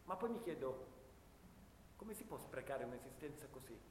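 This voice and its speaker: A man's voice: expressive, moderate pace, lightly acted. A man playing a disillusioned, bookish young soldier, speaking earnestly and in literary phrases in a radio drama. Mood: bitter, sorrowful. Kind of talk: dramatic radio theatre monologue. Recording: echoey reverberant room, low rumble.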